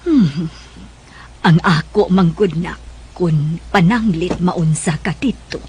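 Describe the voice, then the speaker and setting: A woman playing a gentle, worried mother with a Cebuano Bisaya accent, caring and expressive, in a radio drama. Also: on the low side, takes it slowly, slight background noise. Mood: worried, reluctant.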